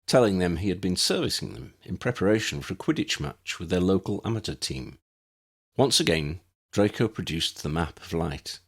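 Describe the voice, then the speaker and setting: A man's voice: deep voice, breathy edge. A man reading scripted fiction aloud as an audiobook narrator.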